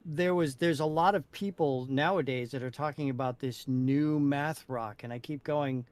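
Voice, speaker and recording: A man's voice: mid-range voice, moderate pace, a little mellow. A man co-hosting, chatting casually as a music fan.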